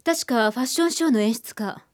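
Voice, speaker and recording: A woman's voice: fairly high pitch, fast talker, close to an everyday voice. An actress playing an attentive young woman in a Japanese radio drama. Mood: attentive, surprised.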